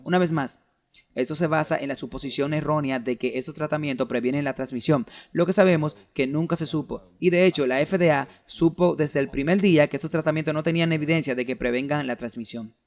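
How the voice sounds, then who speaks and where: A man's voice: on the high side, a little fast. An earnest man with a voice a little above the usual male range builds an argumentative case point by point. He delivers it as a sermon-style lecture and stops now and then to read quoted documents aloud. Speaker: preacher giving a talk.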